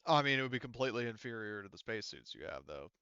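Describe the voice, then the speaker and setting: A man narrating a tabletop game as game master in a casual, halting, rambling manner. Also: lowish voice, sing-song, pushed and bright, smooth, no room sound, highs cut off. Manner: halting, rambling, filler-heavy explanations.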